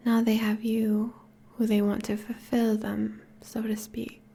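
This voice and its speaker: A woman playing a possessive, teasing yet tender vampire girlfriend in an intimate, whispery ASMR roleplay, speaking softly and coaxingly. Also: fairly high pitch, completely flat delivery, full character voice. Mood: tender, earnest. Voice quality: breathy, whispery. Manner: slow, soft, coaxing.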